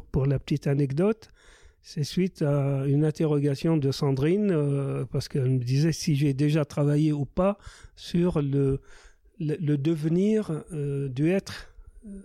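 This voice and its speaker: Mellow-voiced man in his sixties. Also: a little high, dry recording, studio quality. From Palestine. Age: 60s. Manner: measured, searching for words. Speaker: agronomist speaking in a group discussion. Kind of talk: casual discussion.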